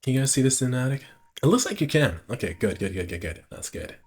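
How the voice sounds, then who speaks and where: A man live streaming, reading visual novel narration aloud in a halting way, often stumbling and repeating phrases. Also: medium pitch, sing-song, husky.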